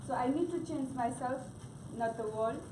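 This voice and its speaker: A woman's voice: high voice, normal speed. A young woman from Nepal whose first language is Gurung, speaking with a Nepali accent and giving a hesitant class presentation full of fillers and restarts. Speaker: student presenter. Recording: echoey room from far off, noisy.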